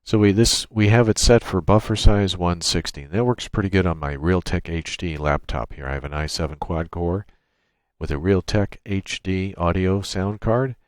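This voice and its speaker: Male tech tutorial narrator, deep register, plain practical delivery, unscripted walkthrough, dry room sound. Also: moderate pace, no room sound. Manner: matter-of-fact, step-by-step explaining. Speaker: tutorial presenter. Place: indoors at a computer, screen-recording voice-over.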